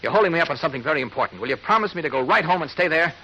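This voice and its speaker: Brisk, bright-voiced radio newspaper reporter. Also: male voice, a little high, expressive, breathy edge, highs cut off, full character voice. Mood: urgent, insistent. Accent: American. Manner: urgent, authoritative. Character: brisk, serious adult male newspaper reporter investigating a disappearance. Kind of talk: 1940s radio acting. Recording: old radio recording with faint noise.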